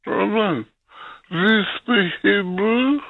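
Slow, creaky male caller on the phone. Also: high-pitched, no echo. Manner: halting, hesitant. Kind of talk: casual chat. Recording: dull band-limited phone call.

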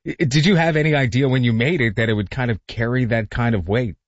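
A man interviewing a guest, talking quickly and asking long questions padded with hedges. His voice is recorded dry and close, with dull, lo-fi sound. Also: mid-range voice, dry recording. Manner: rambling, hedging questions.

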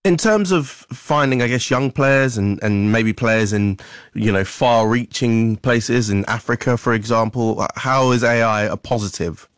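A man's voice: medium pitch, measured pace, no room sound, studio quality. A man presenting the news and interviewing a guest in a conversational way. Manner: conversational, leading questions.

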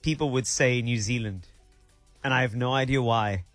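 A man's voice: mid-range voice, normal speed, no room sound, soft background music. South african guy hosting radio, chatty, laughs a lot, dry studio mic sound. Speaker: radio host. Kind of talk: casual radio chat. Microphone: studio microphone.

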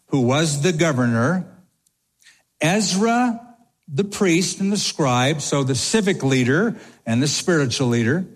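A man preaches from a pulpit in a slow, deliberate cadence, his voice sitting a little high. He drives each point home by repeating it. The sound rings with the long reverb of a large church sanctuary. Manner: emphatic, repeats key phrases. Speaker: pastor. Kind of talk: expository sermon.

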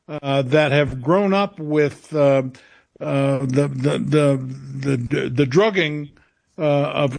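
A man answering as a radio interview guest, halting and often searching for his words. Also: on the high side, gravelly.

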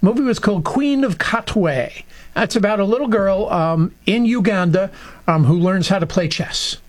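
A man's voice: sits high, moderate pace. A man co-hosting a political talk radio show, telling stories with sarcastic asides.

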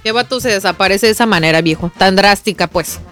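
A woman's voice: mid-range voice, quick pace, fairly bright tone, faint music behind. A young woman with a Mexican accent, chatting casually as a radio co-host with slang and bits of English. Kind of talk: casual chat.

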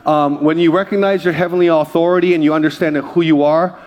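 A man's voice: a little high, quick pace. Fired-up man preaching, raised voice. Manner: energetic preaching with crowd call-outs. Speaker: pastor. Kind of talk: sermon.

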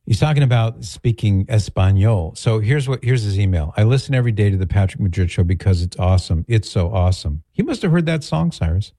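A man's voice: on the low side, animated, a little fast, hardly any pauses, warm tone, no room sound. A man hosting a radio talk show, chatting casually and reading listener emails with easy laughs. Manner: chatty, jokey, laughs easily. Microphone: studio mic.

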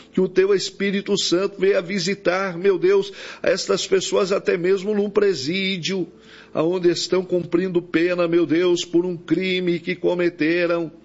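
Male Brazilian evangelical preacher, slightly high gravelly voice, pleading prayer full of invocations, dull recording. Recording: dull, lo-fi audio.

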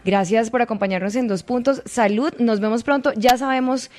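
A woman from Colombia hosting an interview, asking questions in a friendly way and closing the segment smoothly. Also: a little high, normal speed, darkish tone. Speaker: host.

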